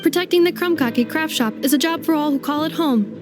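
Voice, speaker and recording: A woman's voice: high voice, a little fast, close to an everyday voice. A woman voicing a loyal, unflinching robot guardian in a video game, formal and declarative. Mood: resolute. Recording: very loud game music behind.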